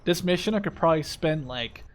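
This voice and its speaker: A man's voice: fairly high pitch, lively intonation, brisk. A man in his twenties giving casual, rambling, enthusiastic gameplay commentary with a lot of swearing. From Canada-based Dutch. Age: 20s. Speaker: gaming video host. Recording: clear background game noise. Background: war strategy game sounds.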